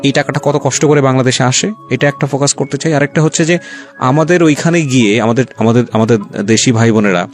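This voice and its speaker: A man's voice: mid-range voice, fast. A man with a Bangladeshi accent hosting a radio interview, addressing listeners reflectively and mixing English words into his Bengali. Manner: hesitant phrasing, mixes English words into Bengali. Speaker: radio show host interviewing a guest. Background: faint music.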